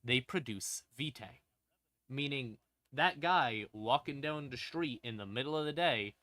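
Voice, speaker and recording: A man with a bright, forceful voice and a mid-range pitch, recorded close and dry. He explains lore as a livestream host in an informal, wry way, breaking his sentences into short phrases with pauses and punchy stressed words. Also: animated, dry recording. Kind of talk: casual lore explainer to a live audience.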